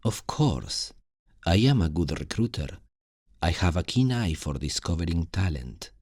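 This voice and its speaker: A man playing a confident, cheeky thief recruiter in a fantasy ASMR roleplay, gravelly and husky, speaking in an unhurried, playful, expressive way. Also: low voice, dry recording, clean studio sound, full character voice. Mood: defensive banter. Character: confident, cheeky male thief recruiter showing a newcomer around.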